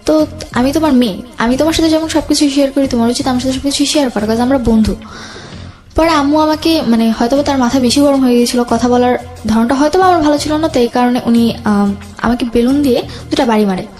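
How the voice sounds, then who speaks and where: Young female guest, Bangladeshi accent, rapid personal storytelling over faint background music. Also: high-pitched, darkish tone. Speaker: guest telling own life story.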